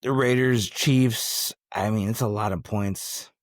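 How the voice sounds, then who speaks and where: A man with a deep voice hosts a casual sports podcast. He talks in loose, slangy banter like he is among buddies, and the sound is close and dry. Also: fairly even intonation, slow pace, dry recording. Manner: slangy, bro-ish banter. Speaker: podcast host.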